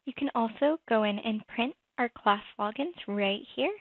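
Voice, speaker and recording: A woman presenting a software walkthrough, explaining each step in a conversational way. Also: a little high, normal speed, no echo. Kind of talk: screen-recorded software walkthrough. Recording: tinny phone-like dry audio.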